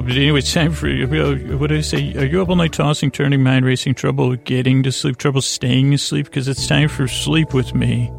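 A man with a creaky, gravelly voice hosts a bedtime podcast, rambling haltingly and interrupting himself as he digresses. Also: medium pitch. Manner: halting, digressive, with repeated stumbles and self-interruptions. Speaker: podcast host.

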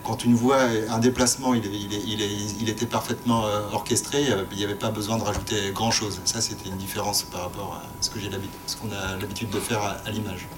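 A man speaking as a sound editor on a panel, informal and halting, often restarting his phrases. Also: flat delivery. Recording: background noise with low rumble.

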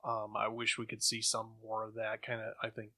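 A man's voice: on the low side, monotone, a little fast, sharp tone. A man on a podcast, casually sharing his opinions in conversation. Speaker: podcast co-host.

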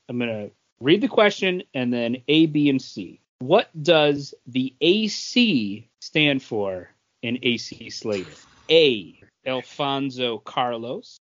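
Guy reading trivia qs on a podcast, takes his time, voice goes up and down, bit muffled. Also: medium pitch. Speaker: podcast quiz host. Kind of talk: reading trivia questions, casual banter.

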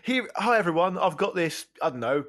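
A man's voice: sits high, sing-song, brisk, fairly bright tone. A man in casual podcast banter, jokey and often acting out imagined quotes. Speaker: podcast panellist.

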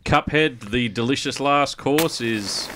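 A man's voice: mid-range voice, takes it slowly, very noisy. A man with an Australian accent hosting a podcast in a casual, chatty style. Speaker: podcast host. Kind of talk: casual chat, introducing segments.

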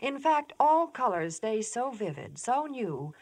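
A woman reads a vintage radio commercial as a spokeswoman. She is emphatic and gushing, with a very animated pitch. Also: a little slow, no room sound. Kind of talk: scripted 1950s radio advertising.

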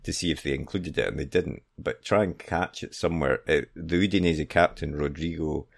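A man co-hosting a football podcast in casual chat, with a hesitant, halting delivery. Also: very deep voice, gravelly.

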